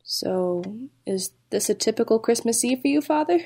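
Woman playing a mellow-voiced haunted, grieving mother. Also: on the high side, expressive, normal speed, gravelly, breathy edge, exaggerated voice. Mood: brittle politeness. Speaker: actress in an audio play. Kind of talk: audio drama acting.

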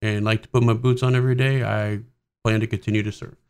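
Direct army officer in his forties, smooth low voice, plain answers. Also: flat delivery, fast, darkish tone. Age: 40s. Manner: direct, matter-of-fact. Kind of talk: interview answers.

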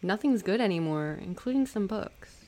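A woman's voice: mid-range voice, lively intonation, normal speed, dark tone. A woman co-hosting a podcast, dry and teasing in casual chat, often laughing. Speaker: podcast co-host.

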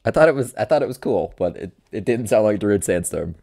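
A man's voice: fairly low pitch, expressive, quick pace, gravelly, a little mellow. A young man co-hosting a podcast, making short, blunt remarks. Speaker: podcast co-host. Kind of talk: casual banter among friends.

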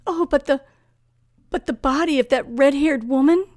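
A woman's voice: high voice, normal speed, a little mellow, lightly acted. An actress playing a terrified young woman recounting a night of terror in an old-time radio thriller, with breathless, dramatic phrasing. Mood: shocked. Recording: vintage radio recording with music behind.

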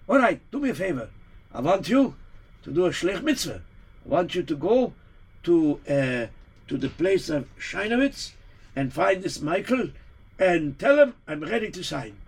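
A man's voice: a little high, very animated, measured pace, long pauses, husky, full character voice. A man voicing a wise old rabbi, earnest and emphatic. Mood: resolved.

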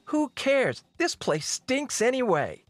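Man putting on a high-pitched, defiant young princess. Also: very animated, takes it slowly, no room sound, faint music behind, exaggerated voice. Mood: urgent. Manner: indignant, exclamatory. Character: defiant, emotional young runaway princess, female. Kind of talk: storybook-style character voice.

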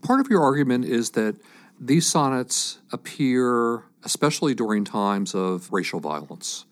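A man's voice: mid-range voice, expressive, measured pace. A man hosting an interview, putting prepared introductions and questions to a guest. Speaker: podcast host interviewing a scholar.

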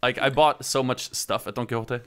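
A man's voice: medium pitch, fairly even intonation, brisk, a bit bright, clean tone. A man from Sweden hosting a casual podcast, asking questions and making joking asides. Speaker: podcast host. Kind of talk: casual chat.

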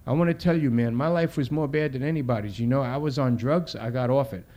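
A man's voice: mid-range voice, fast, mellow, close to an everyday voice. A man voicing a young, streetwise ex-addict caller, earnest and preachy, pep-talking in slangy street rap. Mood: urgent, encouraging.

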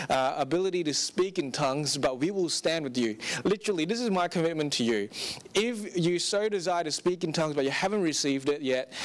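A young man from Singapore preaching a sermon as pastor, earnest and plain-spoken, often repeating words as he starts a sentence. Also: high voice.

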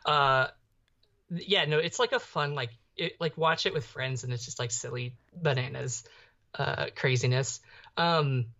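A young woman co-hosting a podcast, chatting casually in a hesitant, halting way and often repeating words. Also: very deep voice, fairly bright tone. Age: young adult. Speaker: podcast co-host. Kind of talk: casual pop culture chat. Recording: slightly dull, muffled microphone sound.

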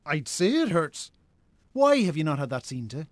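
A man plays a brisk, impatient Irish brother in a radio drama. His voice is fairly high and very animated as he scolds. The sound is dry, with no room on it. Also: dry recording. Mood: exasperated, scolding. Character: exasperated, brisk brother of a drunken farmer. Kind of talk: naturalistic radio drama acting.

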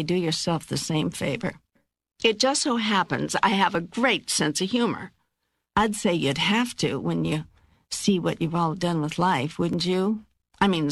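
Low-voiced, wry, wise God. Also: female voice, no room sound, clean studio sound. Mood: wry, amused. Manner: measured, assured, teasing, instructive. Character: God as a wry, wise, reassuring female spirit. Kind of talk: dramatised audiobook dialogue.

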